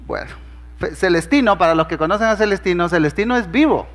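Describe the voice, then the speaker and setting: A pastor with a Honduran Spanish accent and a notably high voice for a man. He preaches in an easygoing, conversational way, telling humorous stories and acting out the dialogue. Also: animated, fast, in a large hall. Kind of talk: sermon with storytelling.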